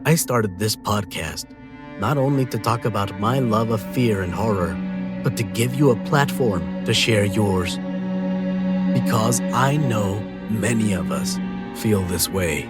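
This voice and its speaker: A man delivering a scripted horror podcast promo as its host, deliberate, inviting and dramatic. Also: lowish voice, expressive. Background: music.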